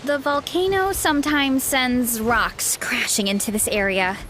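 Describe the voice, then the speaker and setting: High-voiced cheerful young athlete. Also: female voice, a little slow, fairly bright tone, slight background noise. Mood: breezy. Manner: breezy, modest, encouraging. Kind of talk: video game voice acting.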